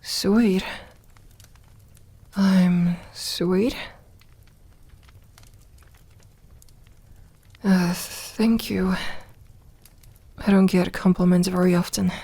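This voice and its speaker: A woman playing a lonely, lovelorn vampire in an intimate ASMR audio roleplay, gentle and wry, speaking softly with hesitant pauses. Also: mid-range voice, breathy edge, exaggerated voice. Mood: shy, awkward. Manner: hesitant, soft-spoken, slow with pauses.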